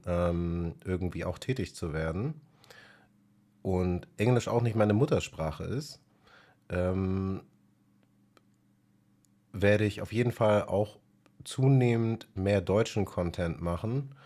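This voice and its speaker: A man's voice: sits low, dark tone. A man chatting casually in his native German on his music channel, thinking aloud with many filler sounds. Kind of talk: casual spoken vlog.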